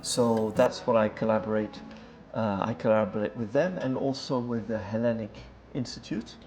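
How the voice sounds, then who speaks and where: A man from Venice speaking as a historian in an interview, explaining things in a measured, conversational way. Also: lowish voice, darkish tone, slight background noise. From Venice, Italy.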